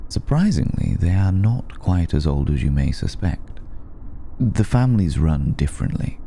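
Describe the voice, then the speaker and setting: A man playing a courteous, protective aristocratic vampire in an intimate ASMR roleplay, speaking formally and hesitantly with long pauses in a gravelly, dark voice. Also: a bass voice, slight background noise, full character voice. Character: ancient aristocratic male vampire, courteous, protective, quietly amused.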